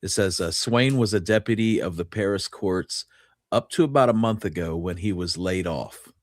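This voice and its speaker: A man co-hosting a casual history discussion in a measured way, sometimes reading aloud from old clippings. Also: deep voice, no room sound, clean studio sound. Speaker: co-host.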